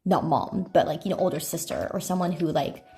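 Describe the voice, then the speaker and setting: A woman's voice: fairly quick, dark tone, a little hiss or hum. A woman livestreamer chatting in a rambling, conversational way over a phone microphone in an echoey room. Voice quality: self-described deeper speaking voice. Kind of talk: casual livestream chat while eating snacks. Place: echoey indoor room at night.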